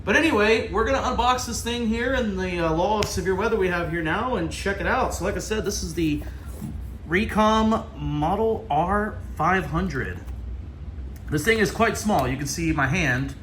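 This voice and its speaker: Bright-voiced, higher-pitched American man. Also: normal speed, slight background noise. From Ohio, United States. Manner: enthusiastic, informal. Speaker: vlogger. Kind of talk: casual hands-on product unboxing commentary. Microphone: handheld camera.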